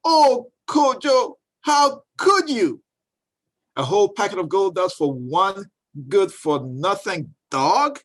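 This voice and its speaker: A man's voice: high-pitched, very animated, fairly bright tone, no room sound, pitched above the performer's own voice, full character voice. A man voicing a wary, scolding village mother from a storybook in a dramatic manner. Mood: exasperated. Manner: dramatic, drawn-out exclamations.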